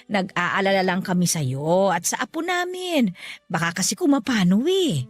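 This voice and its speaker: A woman playing a doting but insistent middle-aged mother-in-law in a radio drama, coaxing and expressive. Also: medium pitch, moderate pace, natural voice. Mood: hesitant, coaxing. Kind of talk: Tagalog radio drama acting. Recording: music bed under the voice. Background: background music.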